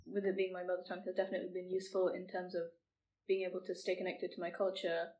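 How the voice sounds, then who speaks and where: A teenage girl from England speaks in a flat, steady tone. She sits some distance from the microphone, so she sounds a little removed. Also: on the low side, fast talker. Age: teens. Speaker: podcast guest. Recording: distant from the mic.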